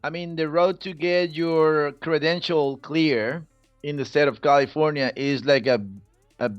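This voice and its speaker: Middle-aged man, Costa Rican accent, native Spanish speaker, casual storytelling, slightly high voice, roomy muffled recording. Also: fairly even intonation, measured pace, faint music behind. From Costa Rica. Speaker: podcast guest, Spanish teacher. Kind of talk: casual personal storytelling in an interview.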